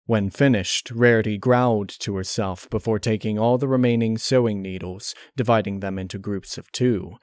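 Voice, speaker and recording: A man reading a dark horror story aloud as a scripted narrator, close on the mic. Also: on the low side, dry recording.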